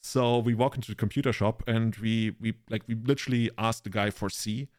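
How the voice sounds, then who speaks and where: Low-voiced German man telling stories. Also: fairly even intonation, a little fast, a little mellow, dry recording, clean studio sound. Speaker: podcast co-host. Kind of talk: casual chat, rambling anecdotes.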